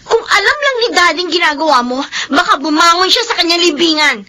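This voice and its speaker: Hot-headed daughter in a radio soap, super high bright voice, emotional and confrontational, bit of music behind. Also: female voice, animated, fairly quick, full character voice. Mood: accusing. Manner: emotional, tearful shouting and sharp confrontation. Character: hot-headed, defiant daughter protective of her widowed mother. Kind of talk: melodramatic radio drama acting.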